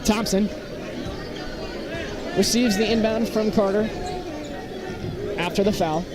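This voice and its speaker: Male sports announcer, high register, terse running play calls, heavy arena noise. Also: fairly even intonation, takes it slowly. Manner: clipped, fragmentary play calls. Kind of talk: live basketball radio commentary. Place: indoor basketball gym, up behind a balcony. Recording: loud gym crowd and court noise. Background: crowd, bouncing basketballs.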